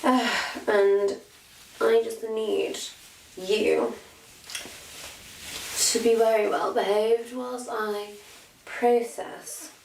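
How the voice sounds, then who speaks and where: Woman playing a sly, very slow-spoken witch. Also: fairly high pitch, flat delivery, creaky, a little mellow, exaggerated voice. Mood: gloating, condescending. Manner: theatrical, condescending, savoring words with long pauses. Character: sly, self-satisfied witch posing as a missionary, grooming captives. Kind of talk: slow roleplay monologue to a captive listener. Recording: roomy echo, faint background noise.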